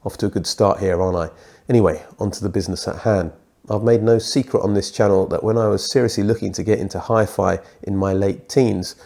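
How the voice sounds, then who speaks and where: A middle-aged British man with a thick, croaky, congested voice from a cold, presenting a scripted hi-fi review with dry, self-deprecating asides. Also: on the low side, warm tone. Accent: British English. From Britain.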